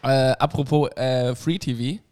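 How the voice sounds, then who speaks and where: A man's voice: medium pitch, moderate pace, mellow, slight background noise. A man co-hosting a casual podcast chat, rambling and searching for words with lots of hesitations. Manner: rambling, hesitant, full of fillers. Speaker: podcast co-host.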